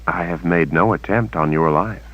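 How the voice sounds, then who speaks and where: A man voicing a cold, smug witch woman who speaks in calm, measured, menacing tones. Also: low-pitched, highs cut off.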